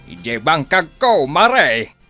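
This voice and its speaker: Whining, high-voiced village father. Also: big pitch swings, takes it slowly, bright, forceful tone, faint music behind, heavily acted. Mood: meek. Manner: plaintive, repeating himself in cries. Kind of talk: radio comedy-drama acting.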